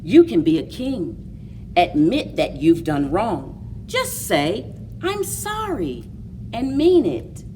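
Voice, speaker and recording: A woman leads a story time for young children in an expressive, very slow delivery. Her voice sits a little low but swings widely in pitch. She is picked up from some way off the microphone. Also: far from the mic, a little hiss or hum. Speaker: library story time host. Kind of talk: children's picture book read-aloud and story time.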